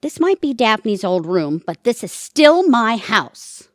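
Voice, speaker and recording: A prickly, self-absorbed retired mother in an audio play. She is middle-aged and sardonic, with lively swings of pitch, recorded close and dry. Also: slow, no echo. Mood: wry, defiant. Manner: sardonic, cutting. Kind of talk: audio theatre comedy-drama acting.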